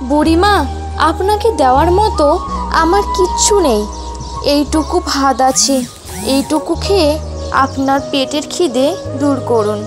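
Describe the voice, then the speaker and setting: Woman playing a plaintive, high-voiced, long-suffering wife. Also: lively intonation, measured pace, pauses often. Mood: gentle, pleading. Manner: plaintive, gentle, pleading. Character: meek, sorrowful, kind elder wife. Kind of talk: animated folk tale dialogue. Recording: voice over prominent background music.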